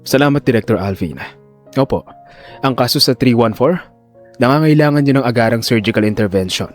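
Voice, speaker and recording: A man's voice: medium pitch, expressive, a little fast, lightly acted. A resolute neurosurgeon, played by a man in an audio drama. He speaks in a middle register, formal and polite yet firmly defiant. Faint music plays behind him. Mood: earnest, firm. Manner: formal, respectful, firm.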